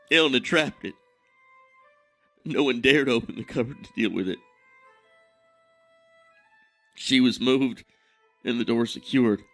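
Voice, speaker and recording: An actor playing an ashamed, grieving man who tells his tale with self-loathing and dramatic pauses. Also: mid-range voice, a bit bright. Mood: bleak shame. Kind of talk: dramatic fantasy monologue in audio drama.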